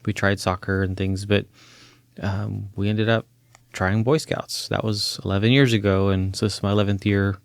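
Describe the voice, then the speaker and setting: Man in his forties, grew up in chile, deep-ish gravelly voice, earnest animated talker, dry studio. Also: moderate pace, no echo. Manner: earnest, animated storyteller who laughs easily. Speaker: counseling professor and guest. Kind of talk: interview conversation. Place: podcast studio.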